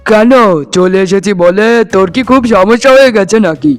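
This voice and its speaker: A man voicing a cruel, quick-tempered uncle in a cartoon, scolding and sneering with contempt. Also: sits high, smooth, close to an everyday voice. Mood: angry, contemptuous. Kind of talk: cartoon voice acting. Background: faint music.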